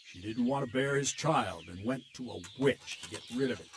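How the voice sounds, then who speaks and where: A man voicing a gruff blunt army captain. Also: mid-range voice, moderate pace, background noise, natural voice. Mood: grim. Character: gruff middle-aged male army captain. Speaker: military captain. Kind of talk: video game cutscene acting.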